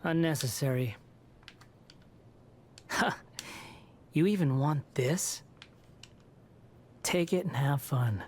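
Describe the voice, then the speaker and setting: Slow, disdainful young man played by an actor. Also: mid-range voice, a little mellow, a little hiss or hum, lightly acted. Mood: scornful. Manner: disdainful, irritating. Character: arrogant, mocking, grating young man in a game.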